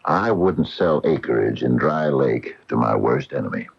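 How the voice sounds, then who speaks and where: A gruff American storekeeper in a 1980 radio play. His voice is very deep and husky, swinging widely as he talks in plain, folksy phrases with a wary edge. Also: expressive, unhurried, heavily acted. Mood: amused, dismissive. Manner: folksy, colloquial, emphatic. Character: gruff small-town hardware store owner, wary and folksy. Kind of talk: 1980 radio drama acting. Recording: dull lo-fi 1980 radio broadcast.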